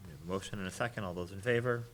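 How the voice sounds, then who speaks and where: A man chairing a school committee meeting in brisk procedural talk. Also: low voice. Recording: noisy public meeting room recording.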